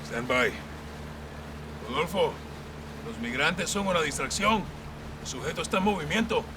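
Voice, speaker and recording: An actor playing a commanding, loyal Mexican special forces colonel in a video game, with a Mexican accent. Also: male voice, on the high side, lively intonation, moderate pace, natural voice. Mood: focused. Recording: noisy outdoor scene with vehicles.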